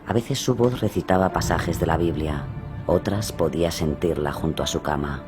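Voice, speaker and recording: Deep-voiced male horror narrator. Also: normal speed. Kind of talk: scripted dramatic true-crime narration. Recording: music behind the voice.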